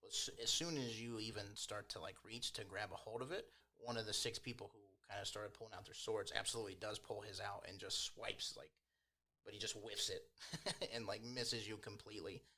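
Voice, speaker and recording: A man's voice: fairly low pitch, a little fast, pushed and bright. A man working as game master, casually improvising the narration of a tabletop roleplaying game.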